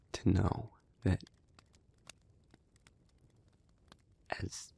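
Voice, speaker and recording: An earnest demon in love , acted by a man, speaks in a low, gravelly half-whisper. He picks his words slowly and haltingly, as if weighed down by guilt, in an intimate dramatic roleplay monologue. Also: full character voice. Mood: tender, sorrowful. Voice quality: breathy, husky, whispery. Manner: slow, halting, hushed delivery with pauses. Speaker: audio roleplay voice actor.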